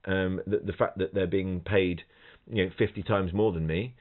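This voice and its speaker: A middle-aged musician talks in a low voice, chatty and full of restarts. He sings rhythms and imitates the people in his stories. The sound is narrow and tinny, like a phone call. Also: fairly even intonation, normal speed. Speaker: guest, professional trombonist. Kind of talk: casual podcast chat, anecdotes. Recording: thin phone-like audio.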